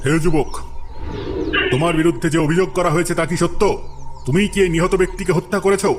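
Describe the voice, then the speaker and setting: A man's voice: high voice, fast talker, natural voice. A man playing a stern, authoritative caliph in a cartoon, judging in formal, solemn pronouncements. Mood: stern questioning. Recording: music bed behind the voice.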